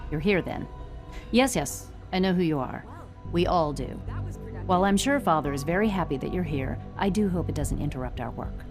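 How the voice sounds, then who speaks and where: A woman's voice: on the low side, expressive, moderate pace, music in the background, lightly acted. A woman playing a tense, work-obsessed scientist in a video game, brusque and formal. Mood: brusque, impatient. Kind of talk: scripted game dialogue.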